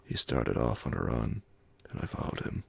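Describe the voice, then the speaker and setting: A man reading classic horror fiction as an ASMR audiobook, in a hushed, measured, breathy and gravelly voice. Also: a bass voice. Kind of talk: ASMR audiobook scripted narration.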